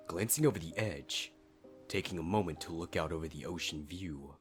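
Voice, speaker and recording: A man's voice: rumbling low, fairly bright tone. A man reading a dramatic scripted story as a fan fiction narrator. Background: faint music.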